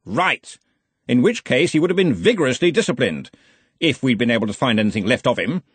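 A man's voice: mid-range voice, expressive, a little fast, husky, dry recording, pitched above the performer's own voice. A man voicing a brash, cheerful, domineering wizard arch-chancellor in a storybook, blustery and commanding. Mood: cheerfully forceful.

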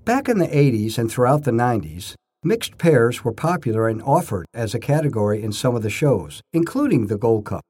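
An older man narrating his own scripted first-person stories in short, measured phrases with a storyteller's emphasis. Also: mid-range voice, very animated, warm tone, breathy edge.

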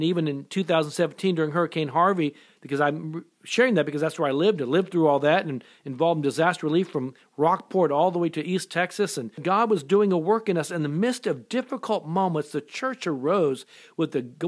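A middle-aged man preaching an earnest sermon, often restarting and repeating words as he goes. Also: a little high. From born in Japan, raised in America. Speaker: pastor.

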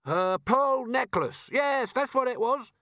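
A desperate crook, played by a male audiobook reader, pitched well up and swooping about. He whines and pleads frantically. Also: lively intonation, slow pace, bright, forceful tone, no room sound, clean studio sound, exaggerated voice. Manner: whining, pleading. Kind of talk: audiobook character voice.

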